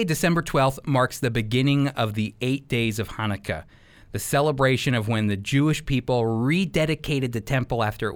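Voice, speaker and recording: A man giving sermon-like Bible teaching on a radio program, speaking emphatically and addressing listeners directly. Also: medium pitch.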